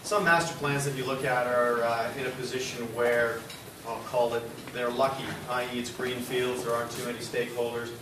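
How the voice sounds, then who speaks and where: A man's voice: mid-range voice, level tone, brisk. Man talking in a roomy hall. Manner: conversational, loud-voiced, hesitant fillers. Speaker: university executive speaker. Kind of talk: symposium presentation. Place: lecture room or studio space. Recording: roomy hall from far off, noisy.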